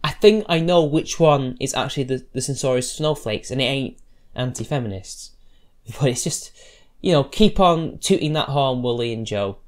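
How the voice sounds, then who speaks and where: A man's voice: medium pitch, animated, normal speed. A man giving combative, sarcastic reaction commentary, talking loosely with restarts as he answers posts. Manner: loose, rambling delivery with restarts. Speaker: YouTube commentator.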